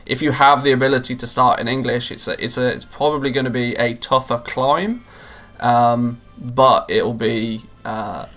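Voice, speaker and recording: A man's voice: mid-range voice, steady tone, moderate pace, fairly bright tone. A man streaming live and chatting casually with his viewers, rambling with many restarts and fillers.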